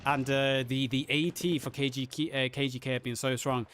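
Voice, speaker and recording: A man casting a live online match, his voice hoarse and worn as he narrates the action with rising excitement. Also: moderate pace, a little hiss or hum. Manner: excited, builds to high pitch on big plays. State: losing his voice. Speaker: esports caster. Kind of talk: live game commentary.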